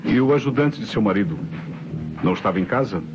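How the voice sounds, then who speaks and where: An actor playing a measured, methodical Brazilian police inspector in an old radio mystery, deliberate and authoritative. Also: male voice, lowish voice, expressive, close to an everyday voice. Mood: questioning. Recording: muffled old radio recording, clear noise.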